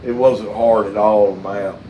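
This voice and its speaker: A middle-aged man with a Southern US accent, chatting casually and telling stories in a folksy, halting way. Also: fairly low pitch, fairly even intonation, roomy sound, highs cut off, slight background noise. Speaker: mechanic and channel host. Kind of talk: casual phone chat with storytelling.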